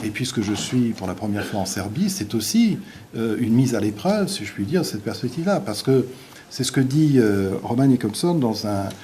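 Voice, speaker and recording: A male visiting university lecturer, a native Portuguese speaker, giving an academic lecture in a halting way with many restarts and fillers. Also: medium pitch, very animated, warm tone, a little hiss or hum.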